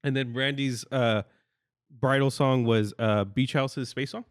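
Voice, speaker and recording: Brief: young man, mid pitch, earnest casual co-host, close dry mic. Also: fairly even intonation, unhurried, clear, resonant tone, no echo. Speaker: podcast co-host, groom. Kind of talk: casual chat.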